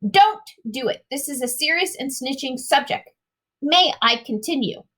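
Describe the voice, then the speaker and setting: A woman voicing a huge, boastful man-eating male giant from a storybook, booming and emphatic with muddled grammar. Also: animated, moderate pace, fairly bright tone.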